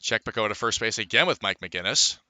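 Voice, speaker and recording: Bright, forceful male baseball announcer. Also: fairly low pitch, lively intonation, fast, dry recording. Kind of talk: live radio sports commentary.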